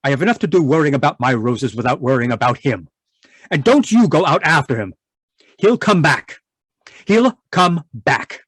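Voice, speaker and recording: Stern, impatient obsessive husband, played by a man; husky voice, big swings in pitch, old-time radio manner. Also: animated, moderate pace, a bit bright, no echo. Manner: imperious, dismissive, impatient. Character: stern, obsessive, irritable husband tending experimental roses. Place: live video call performance. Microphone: video call microphone.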